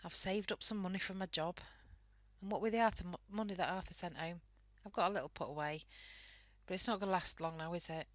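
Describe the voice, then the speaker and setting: A woman's voice: fairly low pitch, fast, dry recording, close to an everyday voice. A woman playing a worried, caring young British wife in a wartime radio play. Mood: anxious.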